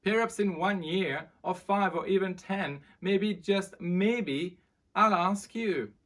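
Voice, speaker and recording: A woman voicing a young servant man in a storybook, playful, adventurous and teasing in a measured way. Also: mid-range voice, voice pushed up, close to an everyday voice. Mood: teasing, tender. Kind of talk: storybook character reading.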